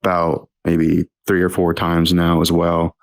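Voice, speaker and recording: Low, flat-voiced young man. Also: measured pace, vocal fry. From Texas. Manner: hesitant, many ums and restarts. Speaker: podcast guest. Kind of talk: casual interview chat.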